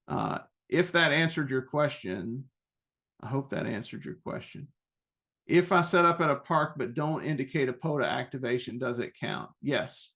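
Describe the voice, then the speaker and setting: A man presenting an informal webinar question-and-answer session, explaining things in a halting, self-correcting way. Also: mid-range voice, creaky, fairly bright tone.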